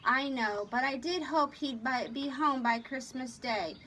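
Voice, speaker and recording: An anxious storybook woman, played by a female reader, worried and insistent. Her voice is high and bright, with faint noise in the recording. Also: unhurried. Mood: resigned. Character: anxious, insistent lonely woman waiting at home.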